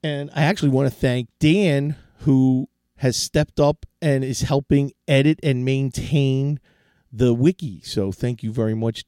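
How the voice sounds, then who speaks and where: A man's voice: medium pitch, mellow, clean tone, no echo, studio quality. A middle-aged man hosting a tech podcast, talking casually and giving advice with frequent restarts, his voice sore and fading from a cold. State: sore throat, losing his voice. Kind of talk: casual solo tech talk.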